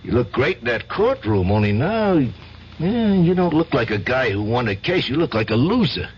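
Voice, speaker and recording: A man acting as a tough, streetwise radio-drama mobster, genial and loyal with quiet menace underneath. His slangy delivery moves up and down freely. The sound is muffled, with steady hiss and noise of an old broadcast. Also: mid-range voice, normal speed, close to an everyday voice. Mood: warm, probing. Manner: slangy, tough, familiar way of talking. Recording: muffled, noisy 1970s radio recording.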